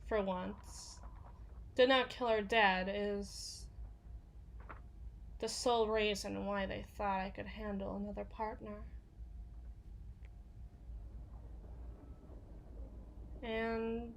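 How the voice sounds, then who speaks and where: A woman playing a jaded, foul-mouthed bodyguard confiding in a partner in an intimate ASMR roleplay, halting and often sinking into whispers. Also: mid-range voice, steady tone, vocal fry. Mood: sombre, weary.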